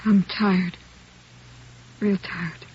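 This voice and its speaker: An actress playing a weary, grieving working woman in a 1950s radio drama, speaking slowly and haltingly. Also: mid-range voice, steady tone. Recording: muffled old radio recording.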